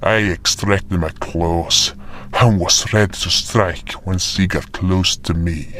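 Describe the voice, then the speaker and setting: A man's voice: low voice, fairly even intonation, slow pace, a bit bright. A man playing a remorseful furred frostborn creature, narrating his own fantasy tale in a solemn, dramatic manner. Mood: grave remorse. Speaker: narrator and voice actor. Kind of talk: first-person dramatic fantasy narration in character. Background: music.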